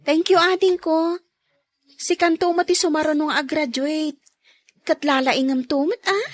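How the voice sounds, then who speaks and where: A woman's voice: sits high, unhurried. A woman playing a dutiful eldest daughter in a radio drama, speaking earnestly and pleadingly to her family. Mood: warm, grateful. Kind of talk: radio drama acting in Ilocano.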